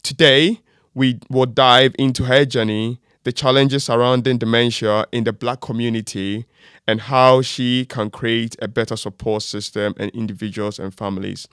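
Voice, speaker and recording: A man's voice: medium pitch, fairly even intonation, normal speed, a bit bright, smooth, no echo, studio quality. A man hosting a podcast interview, enthusiastic and affirming, praising his guest and asking friendly questions. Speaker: podcast host.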